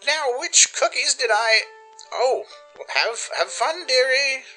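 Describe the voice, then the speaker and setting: A man voicing a cheery, scatterbrained elderly ghoul unicorn mare with a raspy, halting voice in a radio play. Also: very high-pitched, lively intonation, sharp tone, faint music behind, pitched above the performer's own voice, full character voice. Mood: absent-minded.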